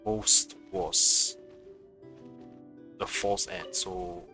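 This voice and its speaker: A man's voice: deep voice, steady tone, drawn-out words, bright, forceful tone, faint music behind. A man streaming a game, thinking aloud in offhand, casual commentary.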